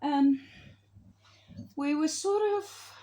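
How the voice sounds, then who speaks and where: A woman's voice: high voice, very slow, warm tone. A woman in her fifties talking casually to her viewers about astrology, rambling, losing her thread and correcting herself as she goes. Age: 50s. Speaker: astrology vlogger. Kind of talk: casual spoken monologue to camera.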